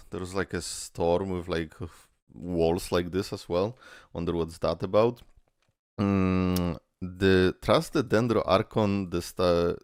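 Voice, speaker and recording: A man's voice: low-pitched, clean tone, no room sound, studio quality. A young man casually reacting to game trailers, rambling, swearing and laughing between thoughts. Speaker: reaction video creator.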